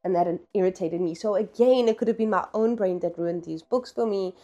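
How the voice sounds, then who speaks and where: A woman's voice: medium pitch, a little fast, warm tone, muffled recording. A woman talking casually and candidly in a book review vlog, opinionated, slangy and emphatic.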